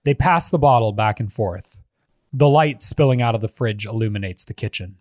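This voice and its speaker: A man's voice: lowish voice, moderate pace, darkish tone, no echo. Man narrating screenplay directions, dry close sound.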